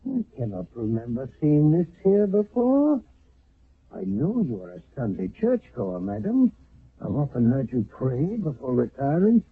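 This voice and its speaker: An actor playing a formal, jealous husband in a 1970s radio drama, speaking in a stiff and measured way. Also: male voice, fairly high pitch, wildly swooping pitch, husky, dry recording, full character voice. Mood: cold, suspicious. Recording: tinny lo-fi old radio broadcast.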